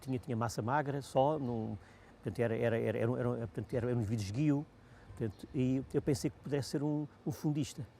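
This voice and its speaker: Halting older coach, fast and mellow. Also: male voice, medium pitch, slight background noise. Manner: halting, full of repeated words and fillers. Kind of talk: interview recollection.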